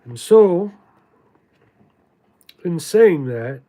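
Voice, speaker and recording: A man giving a contemplative spiritual monologue in a halting, deliberate way, repeating words for emphasis. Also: fairly high pitch, big pitch swings, dark tone. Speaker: spiritual teacher reading from own writings.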